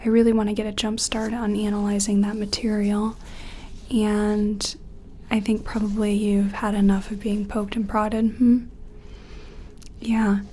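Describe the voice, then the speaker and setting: A woman playing a gentle, curious scientist in an ASMR roleplay, speaking softly and tentatively in a breathy, often whispery, soothing voice. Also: medium pitch, little pitch movement, slight background noise, natural voice. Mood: gentle, focused. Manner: soothing, tentative, apologetic, hesitant pauses. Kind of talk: soft-spoken ASMR roleplay.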